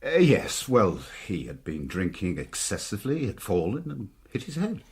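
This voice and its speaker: An actor playing a genial, chatty family doctor, speaking a little hesitantly in a radio drama. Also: male voice, fairly low pitch, wildly swooping pitch, normal speed, a little mellow, husky, heavily acted. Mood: explanatory, uneasy. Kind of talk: British radio drama acting.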